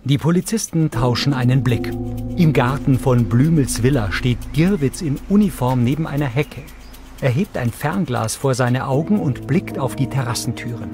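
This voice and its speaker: A man's voice: medium pitch, lively intonation, normal speed, music in the background. A man reading scripted audio description narration for a film.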